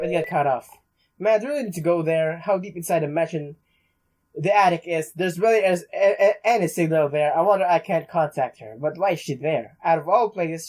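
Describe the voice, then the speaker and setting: A man's voice: a little high, darkish tone. A young man hosting a let's play, reading story text aloud with casual asides and often stumbling over words.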